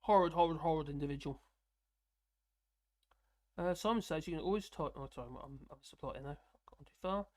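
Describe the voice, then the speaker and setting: A man from the UK with a fairly high voice, hosting a live stream and rambling casually through viewer comments with frequent chuckles. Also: fairly quick, long pauses, darkish tone. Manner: rambling, self-deprecating, chuckling often.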